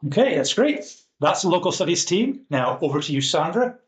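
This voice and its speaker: A man's voice: high voice, sing-song, a little fast. A man from the US Pacific Northwest hosting a podcast and introducing his colleagues. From Pacific Northwest, United States. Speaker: podcast host.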